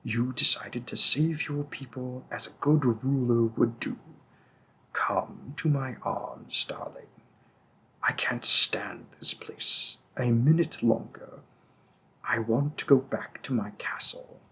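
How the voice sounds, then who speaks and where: A man playing a possessive demon king and devoted lover in a romantic fantasy audio roleplay, often dropping his breathy voice to a whisper. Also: mid-range voice, slow pace, a bit bright, heavily acted. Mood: menacing, possessive.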